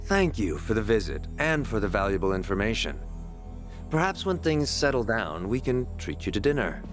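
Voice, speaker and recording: An actor playing a composed, formidable yakuza officer in a game cutscene, formal, controlled and deliberate. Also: male voice, on the low side, very animated, over music. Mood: cool, courteous. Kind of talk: video game cutscene acting.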